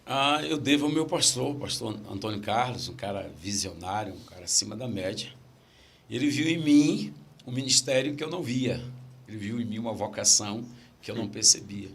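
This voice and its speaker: A man's voice: on the low side, animated, fairly quick, gravelly, fairly bright tone, a little hiss or hum. A middle-aged man from Brazil, a pastor with a stammer, telling stories in casual podcast chat and repeating his key phrases.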